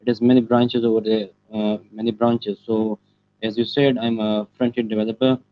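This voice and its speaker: A teenage boy from Pakistan whose first language is Pashto answers interview questions over a call. His voice sits low and flat, and he stops often for fillers and restarts. The call audio is muffled and lo-fi. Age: teens. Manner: halting, many fillers and restarts. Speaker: guest, student web developer.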